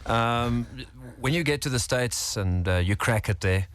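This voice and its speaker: A man's voice: medium pitch, expressive, a little slow, a bit bright. A South African man hosting a radio interview, chatty and jokey, with lots of uh fillers. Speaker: radio DJ, host.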